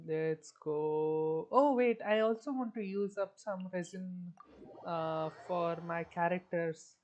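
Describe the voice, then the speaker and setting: Slow-talking woman streaming a game. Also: fairly low pitch, darkish tone, dull audio. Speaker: game livestreamer. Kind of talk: casual livestream chat while gaming.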